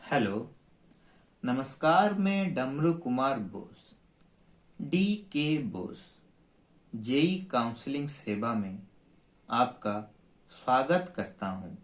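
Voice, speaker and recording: A man playing a long-winded helpline agent in a comic skit, formal and polite, with drawn-out pauses, in an echoing room. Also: mid-range voice, expressive. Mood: ceremonious, polite. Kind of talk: comic stage skit acting. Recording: roomy, echoing sound.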